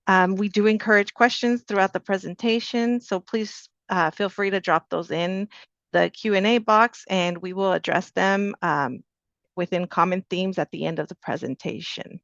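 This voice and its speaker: Gravelly woman reading webinar housekeeping. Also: mid-range voice, normal speed, fairly bright tone, no echo. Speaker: webinar moderator. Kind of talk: housekeeping announcements. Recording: dull lo-fi dry sound.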